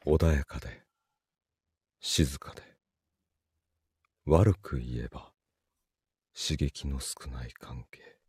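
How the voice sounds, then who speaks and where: A man acting in a Japanese voice drama as an evasive, apologetic boyfriend with a Kansai accent and a husky voice, stammering and hesitant. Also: extremely low pitch, takes it slowly, pauses often, darkish tone, no room sound, full character voice. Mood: reflective. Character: evasive, apologetic boyfriend, busy office worker with a secret.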